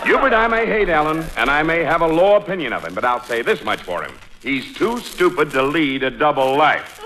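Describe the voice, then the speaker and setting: Forceful, blustering, sarcastic father. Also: on the high side, sing-song, a little fast, rough recording. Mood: indignant. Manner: blustering, scornful. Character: gruff, sarcastic, indignant father of grown daughter. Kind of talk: 1940s radio comedy acting.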